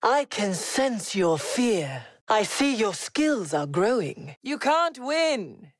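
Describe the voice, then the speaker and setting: A woman playing a mocking, vengeful villain in a video game, delivering deliberate, sneering combat taunts. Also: medium pitch, very animated, dry recording, clean studio sound. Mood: vengeful threat. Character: mocking, vengeful female villain hunting a young Jedi.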